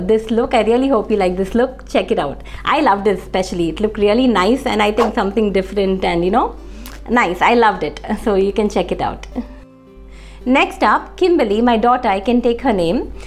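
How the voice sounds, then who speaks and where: A woman, hoarse from a cold, chatting to camera in a casual fashion vlog with many fillers. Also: fairly high pitch. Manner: chatty, friendly, with frequent uh fillers. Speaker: fashion vlogger. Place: indoor room, seated facing camera.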